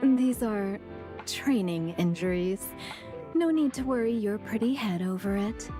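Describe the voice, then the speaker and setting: A woman's voice: medium pitch, unhurried, lightly acted. Papillon, a woman voicing a flirty teasing ally. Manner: teasing, calls others honey. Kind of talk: game voice acting. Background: game music.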